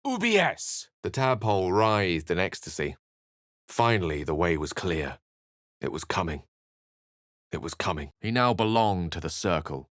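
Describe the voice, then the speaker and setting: Eloquent low-voiced wizard gentleman. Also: very animated, a little slow, no room sound, clean studio sound, heavily acted. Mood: incanting spells. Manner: articulate, theatrical, wry. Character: eloquent, witty, refined human male wizard. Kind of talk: video game character voice lines and narration.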